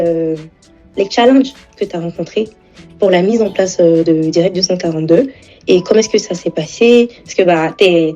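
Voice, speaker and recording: Woman, interview host, mellow warm tone, encouraging and lively, faint music underneath. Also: medium pitch, dull audio. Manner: lively, encouraging, talks straight to viewers. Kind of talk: casual interview chat.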